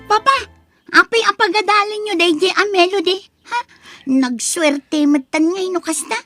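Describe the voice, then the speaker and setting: A woman's voice: very high voice, moderate pace, fairly bright tone, soft background music. A woman playing a spoiled, haughty college-age daughter in an audio drama, whiny, scornful and demanding. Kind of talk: Ilocano audio drama acting.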